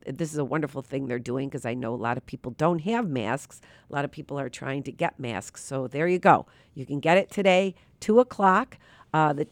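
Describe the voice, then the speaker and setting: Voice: medium pitch, dry recording. A radio talk show host with a nasal, tired voice, talking earnestly and loosely about faith with many fillers, live from a studio. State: tired from little sleep.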